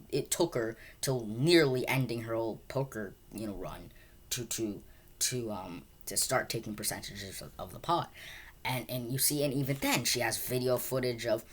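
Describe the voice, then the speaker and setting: Female film reviewer, extremely low pitch, casual and unscripted, halting delivery full of repeated words. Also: slightly rough. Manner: halting, rambling, repeats words and restarts sentences often.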